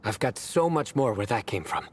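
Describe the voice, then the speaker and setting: Theatrical, self-assured young joker. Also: male voice, lowish voice, normal speed, slight background noise. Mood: jokey, self-assured. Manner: deadpan, theatrical, boastful. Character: young man, deadpan, self-assured joker who loves puns. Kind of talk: video game voice acting. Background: soft game music.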